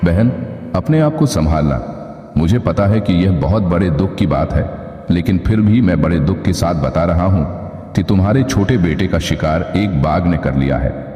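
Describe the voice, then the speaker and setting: A man's voice: low voice, animated, moderate pace, dark tone, faint music behind. A man voicing a solemn, concerned male bear, grave and earnest, in an animal cartoon story. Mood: sorrowful. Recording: echoey with long reverb.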